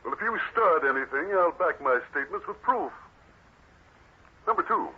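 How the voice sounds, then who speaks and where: An actor playing a menacing, self-assured political boss in an old-time radio drama, taunting and deliberate. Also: male voice, on the high side, lively intonation, slightly rough. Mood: taunting, threatening. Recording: muffled vintage radio recording.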